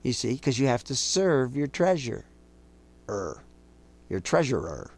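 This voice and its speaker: A man preaching a sermon to his listeners, accusing and emphatic, slowing down to quote scripture in a measured cadence. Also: mid-range voice, sing-song, gravelly, darkish tone. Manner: intense, rising to emphatic outbursts; accusing, speaks directly to listeners.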